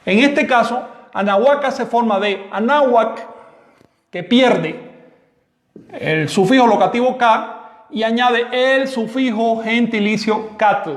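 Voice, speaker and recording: A man teaches a live class, emphatic and firm as he answers questions. His voice sits high for a man, and the room around him rings with a long, echoey tail.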